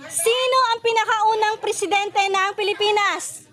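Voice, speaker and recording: Woman, Filipino accent, very high pitch, playful quiz hosting, loud delivery, people chattering behind. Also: measured pace, a crowd in the background. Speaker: quiz host. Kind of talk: playful quizzing in a comedy video.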